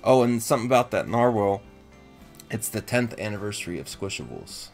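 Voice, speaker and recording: A man's voice: on the low side, big pitch swings, fairly quick, soft background music. A man co-hosting a toy show in casual, informal conversation. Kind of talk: casual toy review chat.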